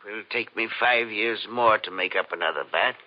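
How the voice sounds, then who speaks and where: An actor playing an anxious elderly scientist, precise and theatrical, in a 1940s radio thriller. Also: male voice, lowish voice, a little fast, lightly acted. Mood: alarmed, guarded. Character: anxious, elderly male scientist guarding his elixir. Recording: muffled 1940s radio recording.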